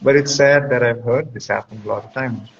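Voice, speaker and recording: A man's voice: mid-range voice, a little fast. A man speaks as a guest counselor, giving reflective, conversational advice and often checking in with the listener. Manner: reflective, explanatory, checks with "right?". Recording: dull lo-fi audio with clear background noise.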